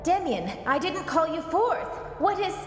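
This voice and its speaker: An actress playing a commanding, alarmed villainess in a video game cutscene, with an urgent, dramatic, imperious delivery. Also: female voice, very high voice, sing-song, measured pace, echoey room, in a large hall, over music, exaggerated voice. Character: commanding, alarmed villainess wrestling her inner demon.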